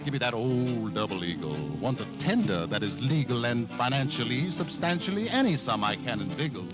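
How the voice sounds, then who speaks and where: American guy singing a jokey swing jingle on old radio, big pitch swings, loud band behind. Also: sing-song, brisk, over loud music, poor audio.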